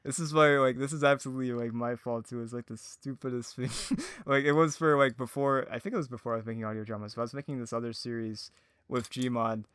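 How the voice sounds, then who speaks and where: A man's voice: medium pitch, fast talker, fairly bright tone, clean tone, no room sound. A man chatting casually and telling anecdotes as a podcast guest, hesitant and often giggling through his words. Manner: hesitant, giggly, frequent laughter while talking. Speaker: podcast guest, audio drama producer.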